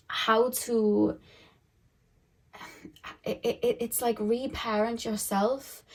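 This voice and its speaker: A woman giving a casual tarot reading to her viewers. Her voice is hoarse and croaky, and she talks in a chatty, rambling way, full of "um" and "like". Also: mid-range voice, dark tone. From United Kingdom. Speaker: tarot card reader.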